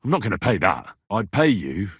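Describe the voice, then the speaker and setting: An earnest young medieval commoner for a video game. His voice is husky and swings expressively, with a cheeky, dogged, bantering way of speaking. The recording is close and dry. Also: fairly low pitch, sing-song, normal speed, no echo, exaggerated voice. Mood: probing, firm. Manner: colloquial, questioning, bantering. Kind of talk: video game character dialogue.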